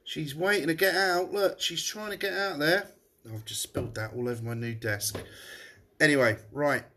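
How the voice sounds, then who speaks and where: A man's voice: fairly high pitch, normal speed, bright, forceful tone, slight background noise. A man, a pub landlord chatting casually on a live stream while tasting beer, his nose blocked up. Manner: chatty, rambling, frequent restarts. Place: room above his pub.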